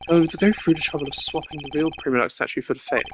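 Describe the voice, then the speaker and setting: A man voicing a composed, formal prosecutor from a courtroom video game, speaking in a measured, declamatory way. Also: on the high side, slight background noise, close to an everyday voice. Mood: certain, reasoning.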